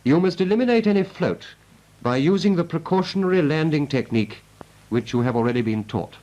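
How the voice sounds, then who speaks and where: A man playing a brisk British naval instructor, narrating a 1940s training film in a clipped, didactic, emphatic way. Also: fairly high pitch, expressive, mellow, highs cut off, lightly acted. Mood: brisk, instructive. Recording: noisy vintage 1940s film soundtrack.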